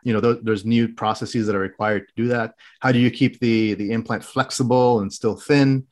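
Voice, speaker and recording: A man's voice: medium pitch, steady tone, normal speed, a little mellow. A man from the Middle East talking as a podcast guest about his engineering background, explaining in detail and restarting his phrases often. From grew up in the Middle East. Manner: explanatory, many restarts and fillers, precise technical wording. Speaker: guest, health tech director. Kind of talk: casual interview talk.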